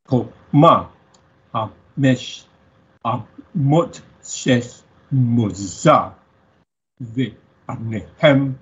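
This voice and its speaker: A man's voice: medium pitch, long pauses. A man giving a liturgical reading of Torah verses. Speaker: scripture reader. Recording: dull, muffled lo-fi audio.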